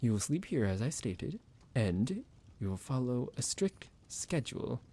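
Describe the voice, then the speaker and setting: A man playing a slow, creaky, possessive vampire doctor. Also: mid-range voice, sing-song. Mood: smug, commanding. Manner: measured, formal, menacing, softly commanding. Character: psychotic male vampire doctor, possessive, condescending captor. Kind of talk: ASMR roleplay acting.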